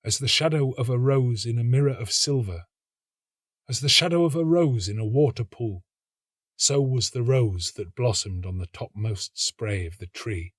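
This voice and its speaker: A man reading a literary story as an actor would, in a measured, dramatic and expressive narrating style. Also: mid-range voice, no room sound, clean studio sound.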